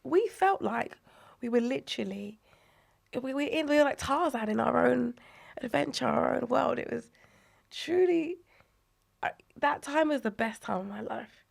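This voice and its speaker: A woman, unwell and croaky, animatedly recalling her childhood as a podcast guest, often dropping to a breathy near-whisper. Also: high-pitched, lively intonation, a little slow, long pauses. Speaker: guest, inclusive play advocate. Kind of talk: conversational podcast interview.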